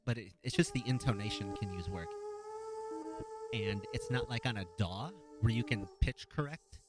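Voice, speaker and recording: A young man with a low voice giving casual, hesitant commentary on a beatbox battle, with many fillers and restarts. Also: normal speed, loud music behind the voice. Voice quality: naturally low voice. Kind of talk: casual commentary over a video.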